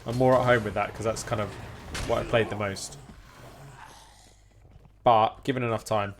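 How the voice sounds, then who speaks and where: A man's voice: on the low side, fairly even intonation, normal speed, noisy background. A man streaming a game, chatting informally with rambling explanations. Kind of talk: casual live-stream chat while gaming. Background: game music.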